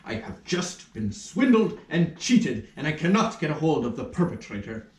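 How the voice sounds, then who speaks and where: A man's voice: fairly high pitch, big pitch swings, normal speed. A man playing a flustered, pompous, self-important minor official in a theatrical puppet show, stammering with indignation. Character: flustered, pompous minor official who lost his nose. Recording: roomy, distant rehearsal room recording.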